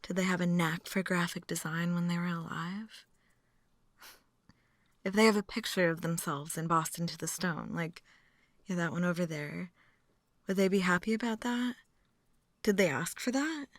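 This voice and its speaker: A woman's voice: on the low side, moderate pace, long pauses, no room sound, clean studio sound, close to an everyday voice. A woman acting a chatty, philosophically morbid date in an intimate ASMR roleplay, musing and teasing as she rambles to the listener. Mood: wry, musing.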